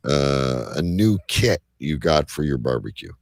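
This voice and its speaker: A man hosting a live show with casual banter and a rough, gravelly voice. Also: rumbling low, expressive, unhurried, dry recording, clean studio sound. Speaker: host of a live online show.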